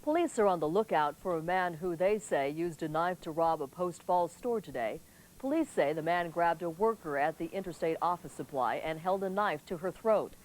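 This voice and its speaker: A woman's voice: fairly low pitch, lively intonation, moderate pace, a little mellow, slight background noise, rough recording. A woman reading local television news as an anchor, in scripted newscast delivery.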